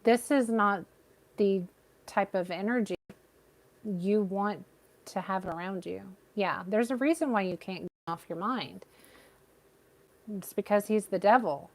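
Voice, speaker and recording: A woman giving a live tarot reading with a soothing, gentle voice, talking straight to her viewers. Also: mid-range voice, measured pace, pauses often. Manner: gentle, reassuring, addresses viewers by name. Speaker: tarot reader.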